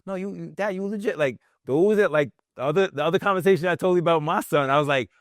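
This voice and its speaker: A man hosting a casual interview, telling lively anecdotes and often affirming his guest. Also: fairly high pitch, fast talker, dry recording, clean studio sound. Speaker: podcast host.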